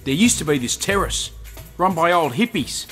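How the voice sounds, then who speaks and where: An Australian man acting in a radio play as a rough, volatile inner-city man just out of custody, talking in terse slang. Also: on the high side, sing-song, moderate pace, fairly bright tone. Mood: reminiscing. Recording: music under the voice.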